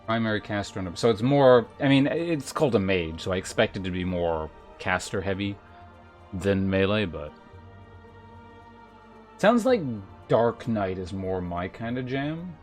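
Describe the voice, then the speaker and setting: A man livestreaming a video game, chatting casually and reading aloud, thinking out loud in a rambling way with wry asides. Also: on the low side, lively intonation, a little slow. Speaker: game streamer. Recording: music behind the voice.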